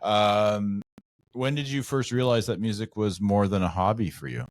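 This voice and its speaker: A man co-hosts with a very deep voice, loose and jokey, his thoughts wandering as he talks. The sound is dry and close. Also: moderate pace, no room sound. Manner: relaxed, rambling, jokey. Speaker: co-host. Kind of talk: casual podcast interview.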